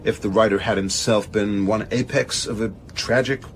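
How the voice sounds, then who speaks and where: A man acting a measured, pedantic, evasive establishment gentleman with hesitant, careful speech in a radio drama. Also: fairly low pitch, steady tone, a little hiss or hum, lightly acted. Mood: musing, aloof.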